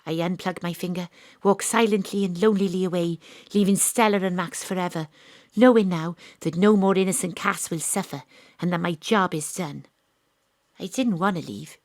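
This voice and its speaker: A woman's voice: lowish voice, normal speed, a little mellow, no echo, studio quality, natural voice. A woman playing a world-weary female detective, narrating a wistful, melodramatic noir monologue in an audio drama. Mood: wistful, resolute.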